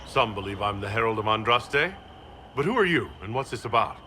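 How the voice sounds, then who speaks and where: A man voicing a composed, diplomatic heroic leader in a video game, speaking in a measured, questioning way. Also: lowish voice, animated, pushed and bright, slight background noise. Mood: measured, wary. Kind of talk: video game character acting.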